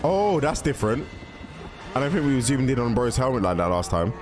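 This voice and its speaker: A man's voice: mid-range voice, very animated, fairly quick. A young man giving casual, slangy reaction commentary, musing aloud into a close microphone. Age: young adult. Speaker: reaction video host. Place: small indoor room at a desk. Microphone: close microphone on arm, headphones on. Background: music from the cartoon intros being watched.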